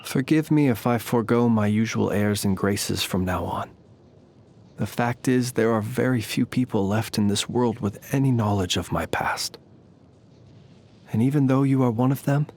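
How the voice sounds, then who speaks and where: A courtly, measured ancient gentleman soldier. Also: on the low side. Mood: courteous, reflective. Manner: polite, formal, measured, wryly understated. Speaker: video game voice actor. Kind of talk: scripted game character voice lines.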